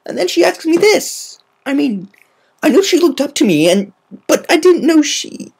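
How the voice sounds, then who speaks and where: A troubled young female pony in a story reading, put on by a woman. The pitch jumps well up and swings around. She is brash and blunt, agitated and halting as she talks. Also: big pitch swings, creaky, a little mellow, full character voice. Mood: distressed, flustered.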